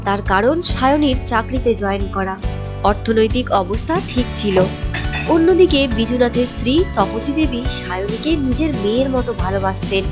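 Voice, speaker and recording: A woman's voice: on the high side, animated, normal speed. A woman reading scripted narration as the storyteller of a story. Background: music.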